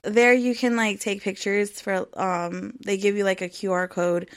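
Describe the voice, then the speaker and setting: A woman's voice: mid-range voice, flat delivery, a little slow, clear, resonant tone. Rambling woman podcast host in her thirties. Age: 30s. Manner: rambling, heavy with "um" and "like". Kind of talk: casual solo podcast chat.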